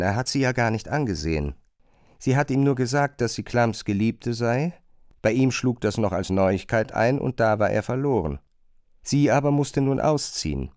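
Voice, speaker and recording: A man narrating a literary radio drama in a measured, pointed, questioning manner. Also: mid-range voice, darkish tone, dry recording, clean studio sound, natural voice.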